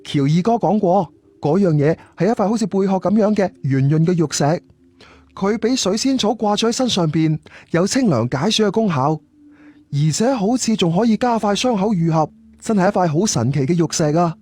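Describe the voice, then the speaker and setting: A man's voice: high-pitched, lively intonation, normal speed, a little mellow, no room sound. A man with a Cantonese accent narrating a scripted first-person story in a conversational, colloquial way. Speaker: audiobook storyteller.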